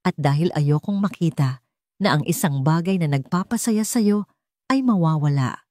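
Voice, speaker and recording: Woman narrator as gentle male billionaire, calm, restrained, Filipino accent, romance audiobook, lowish voice. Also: normal speed, no room sound. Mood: gentle, sincere. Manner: gentle, restrained, simple delivery.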